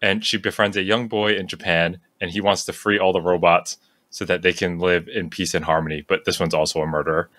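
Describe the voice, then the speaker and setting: A man's voice: sits low, steady tone, a little fast, fairly bright tone. A man from Long Island with a matching accent, hosting a podcast in playful, joking casual chat. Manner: joking, playful, laughs often. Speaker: podcast host.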